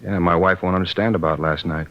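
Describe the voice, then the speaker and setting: A man's voice: low voice, fairly even intonation, quick pace. An actor playing a weary, defensive married man held as a suspect in a 1950s radio drama, grumbling in a sullen, colloquial way. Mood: worried, weary. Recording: dull vintage radio recording.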